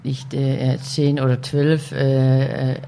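Niederländische Frau, stockendes Deutsch. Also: female voice, extremely low pitch, little pitch movement, vocal fry, mellow, a little hiss or hum. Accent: Dutch. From Netherlands. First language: Dutch. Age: 40s. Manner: halting, searching for German words, many fillers, laughs easily. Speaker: podcast guest. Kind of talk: casual interview chat.

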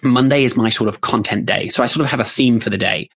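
A man, co-hosting a podcast and explaining his routine in a relaxed, chatty way, often restarting his phrases. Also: medium pitch, quick pace, gravelly, breathy edge. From New Zealand. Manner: explanatory, with frequent restarts and "sort of" fillers. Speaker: podcast co-host. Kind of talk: casual conversational podcast chat. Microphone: studio podcast microphone.

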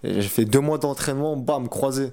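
A man's voice: medium pitch, brisk, mellow. Young man, colloquial and emphatic. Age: 18 years old. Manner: colloquial, repeats phrases for emphasis. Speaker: athlete interviewee, wrestler. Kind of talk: casual chat.

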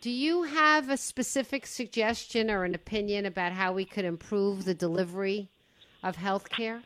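A woman's voice: medium pitch, moderate pace, fairly bright tone. Chatty woman hosting a talk show. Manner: chatty, affirming. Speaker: radio talk show host. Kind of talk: interviewing a guest.

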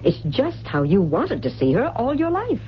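An actress playing a cold, scheming American accomplice in a 1970s radio drama, hard and insistent. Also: female voice, on the high side, huge pitch swings, normal speed. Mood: urgent, hard. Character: cold, scheming younger woman, pushy accomplice in murder. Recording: dull, noisy old radio broadcast with hum.

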